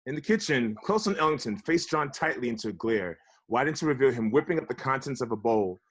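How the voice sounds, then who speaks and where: A man's voice: mid-range voice, expressive, a little fast, fairly bright tone. A man reading out stage directions as narrator for a comedy script table read.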